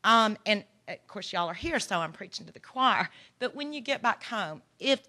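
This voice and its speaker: A woman's voice: mid-range voice, lively intonation, moderate pace, sharp tone. A woman from Texas with a Texan accent, giving an earnest, encouraging workshop presentation with a folksy manner. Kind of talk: conference presentation. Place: conference room.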